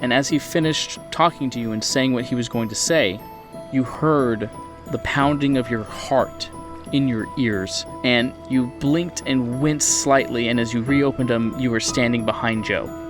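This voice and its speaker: A man running a tabletop horror game as game master, setting scenes in the second person with deliberate phrasing. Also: medium pitch. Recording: music behind the voice.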